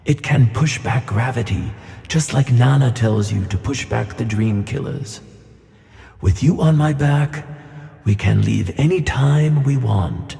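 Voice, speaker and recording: A man playing a gentle, wise magical tuna fish who reassures his friend in a children's audio play. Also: medium pitch, unhurried, creaky, in a large hall. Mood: patient, encouraging.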